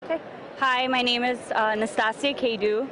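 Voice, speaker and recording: A young woman from Lebanon, a passer-by at an outdoor street rally, giving an earnest, indignant but measured answer. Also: a little high, fairly even intonation. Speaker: passer-by being interviewed. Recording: crowd noise of an outdoor rally.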